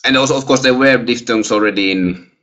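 A young man with a Finnish accent lectures over a video call through a headset. His voice is bright and forward. He pauses a lot, fills gaps with "uh" and "well", and restarts sentences. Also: medium pitch, dull audio. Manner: hesitant, many fillers and restarts. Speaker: guest lecturer. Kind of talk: webinar Q&A answers.

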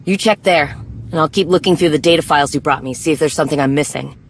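Game warrior woman: low-pitched, blunt, dry, proud, no time for niceties. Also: quick pace, slight background noise. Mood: wry, analytical. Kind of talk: video game cutscene acting.

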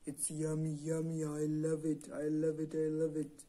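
A woman voicing an eager, greedy toy dinosaur puppet in a children's show, talking in an excited, childlike, repetitive way. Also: low-pitched, moderate pace, voice pushed down. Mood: hungry, delighted.

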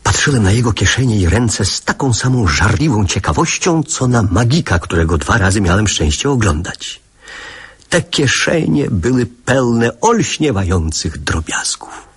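An actor narrating childhood memories fondly in a radio drama, with a husky voice and literary, reflective manner. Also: male voice, on the low side, big pitch swings, normal speed, exaggerated voice.

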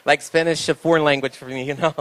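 Relaxed Brazilian man, handheld mic. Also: on the high side, quick pace. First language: Portuguese. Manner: relaxed, laughs easily, many fillers like "you know". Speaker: guest, school owner and pastor. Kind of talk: interview in English. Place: indoor talk show stage on a sofa.

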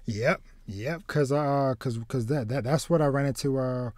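A man in his thirties hosting a casual podcast chat, halting and repeating words as he starts his sentences. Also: medium pitch, a little mellow, no echo. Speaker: podcast host. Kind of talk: casual chat about anime and manga. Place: small home room with shelves, video call.

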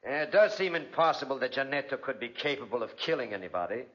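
Actor as sharp-voiced, shrewd country sheriff. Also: male voice, medium pitch, expressive, fast talker, lightly acted. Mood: grave, insistent. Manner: knowing, pointed. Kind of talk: 1940s radio drama acting. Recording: dull, band-limited 1940s radio recording.

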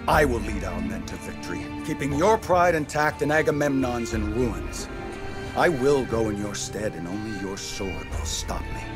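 A man's voice: fairly low pitch, lively intonation, moderate pace, over loud music, lightly acted. An actor playing a bold, passionate young male Greek warrior in a game, earnest and defiant. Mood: defiant, determined.

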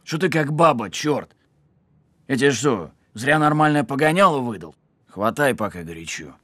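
An actor playing a tough, weary criminal on the run, sardonic and full of street slang, in an audio film. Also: male voice, medium pitch, lively intonation, moderate pace, fairly bright tone, dry recording. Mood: urgent, insistent.

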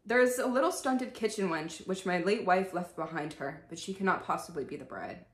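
A woman's voice: fairly low pitch, fairly quick, lightly acted. A woman playing a cold storybook father who speaks dismissively. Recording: echoey room.

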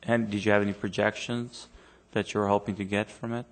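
A man's voice: on the low side, little pitch movement, a little fast. Plainspoken man debating at a council meeting. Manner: hesitant, repeats words, plainspoken. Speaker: city council member. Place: council chamber.

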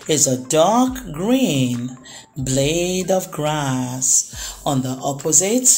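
A woman reading a children's story aloud, with dramatic phrasing and drawn-out pauses. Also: low-pitched, darkish tone. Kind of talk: expressive read-aloud storytelling for young children.

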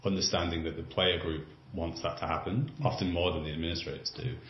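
A man's voice: sits low, steady tone, fast, a little hiss or hum. A man hosting a sports podcast, making long, reasoned points in a conversational way. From Britain or Ireland. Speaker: podcast host and writer. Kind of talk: podcast interview. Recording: roomy, slightly dull remote audio.

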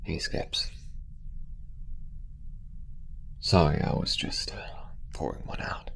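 A man voicing a weary, tender, roguish alien space courier in soft, intimate audio roleplay. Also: moderate pace, whispered, exaggerated voice. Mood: wistful, grieving. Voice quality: deep, gravelly.

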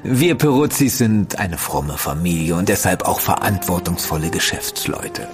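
Shrewd, expressive merchant banker. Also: male voice, sits low, soft background music. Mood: reassuring, self-assured. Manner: measured, patronising, formal archaic diction. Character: genial, shrewd senior merchant banker, calmly authoritative. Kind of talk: German audio drama acting.

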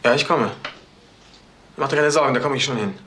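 A man's voice: medium pitch, brisk, lightly acted. Actor as defiant young man, very noisy. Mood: determined. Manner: cool, dismissive. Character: defiant, impatient young man, a grown son. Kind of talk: crime radio drama acting. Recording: very noisy recording with clicks.

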